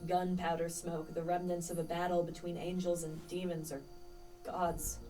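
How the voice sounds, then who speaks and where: Female actor as dreamy young poet-spy, radio play, lowish pitch, lyrical musing, dramatic pauses, slightly off-mic, faint music behind. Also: flat delivery, dark tone, distant mic, close to an everyday voice, rough recording. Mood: wistful wonder. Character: Christopher Marlowe, dreamy, searching young male poet and spy.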